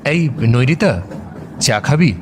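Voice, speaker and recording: A considerate young man with a middling pitch, a little shy and hesitant. It is audio drama dialogue with clear vehicle noise around the voice. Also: animated, slow, a little mellow. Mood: casual, tentative. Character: considerate, slightly shy young male friend on a trip. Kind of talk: Bengali audio drama acting. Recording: vehicle ambience noise over voice.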